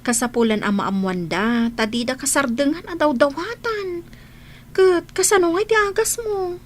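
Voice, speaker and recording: A woman's voice: sits high, moderate pace, slight background noise, natural voice. A woman playing a frank, concerned friend in a radio drama, scolding yet caring, with a Filipino accent.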